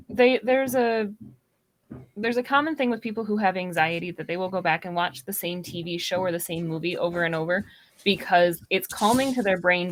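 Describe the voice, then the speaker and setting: A woman's voice: lowish voice, dry recording. Casual woman chatting about films, close dry sound. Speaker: podcast guest or co-host. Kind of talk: casual movie discussion.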